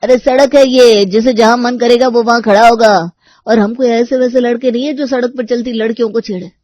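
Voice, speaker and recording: A woman's voice: a little high, fairly even intonation, fairly quick, a little mellow, no echo, close to an everyday voice. A woman voicing a cocky, confrontational young man loitering on the street, challenging and sarcastic. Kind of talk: animated story dialogue.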